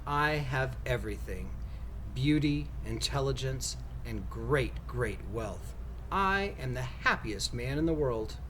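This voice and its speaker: Guy doing a stuck-up angry prince for a kids story, very animated, sneering and cruel. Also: mid-range voice, slow, a little hiss or hum, low-quality recording. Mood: smug. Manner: pompous, contemptuous. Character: vain, cruel, angry young male prince.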